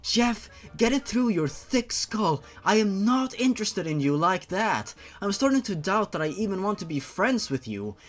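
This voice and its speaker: Man putting on an exasperated young woman, high-pitched. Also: very animated, normal speed, hardly any pauses, soft background music. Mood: exasperated, scolding. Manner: exasperated, blunt. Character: sharp-tongued, irritated young woman, fiercely loyal girlfriend. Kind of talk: dramatized reading of online fan fiction.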